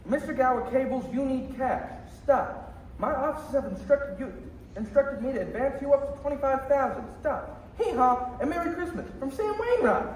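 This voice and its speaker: A cheerful townsman in a radio play, acted by a young man, announcing briskly and taking charge. The voice is very high for a man and rings in a very echoey room with faint noise. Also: expressive. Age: young adult. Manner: announcing, directive. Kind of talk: radio play acting in a high school production. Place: school theatre stage.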